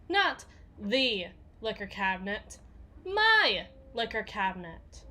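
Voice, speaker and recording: A woman's voice: medium pitch, big pitch swings, takes it slowly, bright, forceful tone, full character voice. A woman playing a tough, foul-mouthed, guarded bodyguard for a villain in an ASMR roleplay, with a sardonic, slangy delivery. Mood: sardonic, weary. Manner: sardonic, swearing, casual slangy drawl. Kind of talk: ASMR roleplay, acted monologue.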